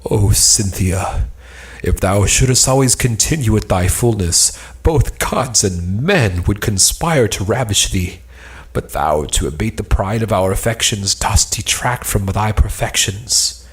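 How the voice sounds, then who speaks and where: A man's voice: lowish voice, expressive, a little slow. A man playing a haughty, lovesick young moon worshipper, declaiming archaic lines in an amateur cold read of an Elizabethan play. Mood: adoring.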